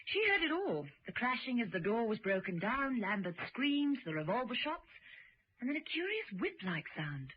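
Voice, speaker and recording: An actress playing a brisk, clever, self-assured secret agent in a radio drama, composed and knowing. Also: female voice, a little high, very animated, husky. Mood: informative. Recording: dull, noisy old radio recording.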